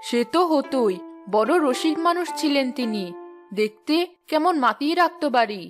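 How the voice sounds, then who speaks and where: A woman playing a kindly older mother-in-law who gently chides and advises in a Bengali cartoon. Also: high-pitched, lively intonation, moderate pace, over music. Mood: fond, chatty.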